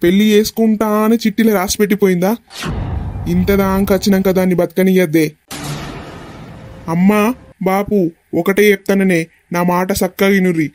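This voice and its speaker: A man's voice: sits high, little pitch movement, moderate pace, pauses often, darkish tone, slight background noise, close to an everyday voice. A man playing a hot-headed, protective village son in a family drama, speaking bluntly with a Telangana Telugu accent. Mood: angry. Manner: blunt, agitated questioning.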